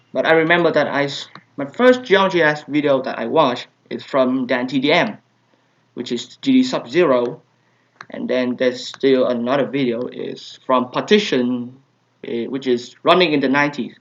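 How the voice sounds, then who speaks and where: A young man, a native Vietnamese speaker with a Vietnamese accent, answering viewer questions in a hesitant, casual way with many fillers. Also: medium pitch. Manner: hesitant, many fillers and soft trailing asides. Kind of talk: casual Q&A vlog. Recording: dull lo-fi home recording.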